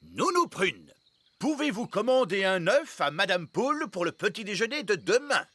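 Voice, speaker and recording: Pompous, sharp-voiced cartoon king. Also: sits high, very animated, moderate pace, faint music behind. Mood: polite request. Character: pompous, fussy cartoon fairy king, middle-aged. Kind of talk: animated children's cartoon dub.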